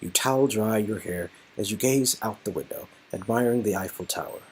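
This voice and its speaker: A man's voice: on the low side, fairly quick, a little hiss or hum, low-quality recording. A man playfully reading and playing a story game, casual and a little hesitant, often repeating himself. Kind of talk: casual playthrough with narration read aloud.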